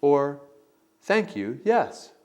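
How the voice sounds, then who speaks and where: A man slowly reading model answers for a practice interview, pronouncing each one deliberately. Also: a little high, big pitch swings, a little mellow, highs cut off. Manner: slow, deliberate, model answers read with emphasis. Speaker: instructor giving sample answers. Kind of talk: scripted instructional narration.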